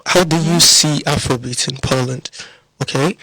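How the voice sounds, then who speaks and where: A man's voice: a little high, normal speed, pushed and bright, no echo, low-quality recording. A man from Lagos with a Nigerian accent, hosting a live radio interview. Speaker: radio host.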